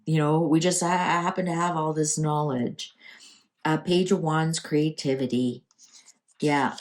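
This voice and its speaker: A woman's voice: low voice, darkish tone. A woman with a Canadian accent hosting a casual, improvised tarot reading, thinking aloud in a conversational way. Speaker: tarot reader and channel host.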